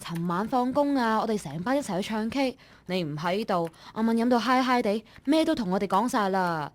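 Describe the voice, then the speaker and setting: A woman's voice: fairly high pitch, animated, a little fast, close to an everyday voice. An actress playing a nosy young female office colleague in a radio drama, talking in a blunt, colloquial, gossipy way. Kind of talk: Cantonese online radio drama acting.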